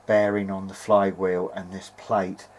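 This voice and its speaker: Male hobbyist, lowish voice, hesitant, chatty DIY repair narration, faint background noise. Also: little pitch movement, unhurried, poor audio. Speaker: vintage hi-fi hobbyist narrating own repair video. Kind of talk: informal hands-on repair video diary.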